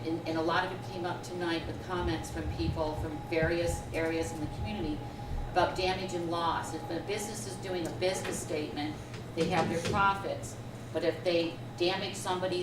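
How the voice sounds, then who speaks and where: A woman with a fairly low voice questions the judge at a public hearing, loud and direct. She is picked up from a distance in an echoing room, with noise behind her. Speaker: resident speaking at hearing. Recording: echoey room recorded from far off, noise.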